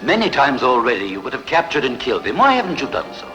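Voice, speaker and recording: A man's voice: medium pitch, very animated, fast, sharp tone, faint music behind, poor audio. A man playing a respectful, probing royal adviser in a film dub, speaking formally. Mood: confiding.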